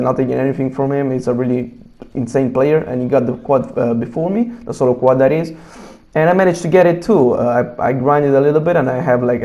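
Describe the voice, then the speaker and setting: A man's voice: mid-range voice, mellow. A young man from Italy with an Italian accent, speaking English as a second language while commenting casually over gameplay, full of fillers and restarts. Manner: hesitant, many fillers and restarts, blunt opinions. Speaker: gaming YouTuber.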